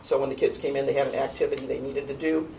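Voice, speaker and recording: A woman with a low-register voice giving an informal annual program report to a board, conversational, with frequent ums and restarts. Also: flat delivery, very fast, creaky, warm tone. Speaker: youth program coordinator presenting an annual report. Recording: distant mic in a meeting room with faint noise.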